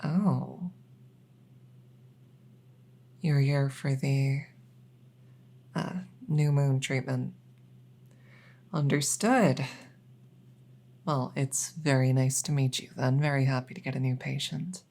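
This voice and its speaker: Woman playing a night vet, ASMR roleplay, slow pace, gravelly fry, matter-of-fact, courteous, hesitant. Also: rumbling low, darkish tone, heavily acted. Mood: professional, reassuring. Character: soft-spoken, polite night vet receiving a supernatural patient.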